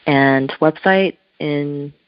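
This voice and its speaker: A woman's voice: low voice, very slow, no room sound. A woman whose first language is Korean, a spiritual artist being interviewed by telephone, talking in a searching, reflective way with loose phrasing. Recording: muffled telephone line.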